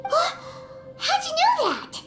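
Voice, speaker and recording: A tiny, chirpy fairy girl for a video game. The voice is squeaky, childlike and sky-high, with swooping, excitable delivery. It is unhurried and sits in a roomy sound. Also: heavily acted. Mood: surprised. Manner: excitable, refers to self in third person. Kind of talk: video game character acting. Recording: roomy game audio under music.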